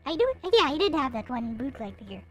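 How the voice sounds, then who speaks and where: High-pitched, animated woman livestreamer. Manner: halting, muttering to self while setting up. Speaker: livestream host. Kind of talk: casual chat with livestream viewers.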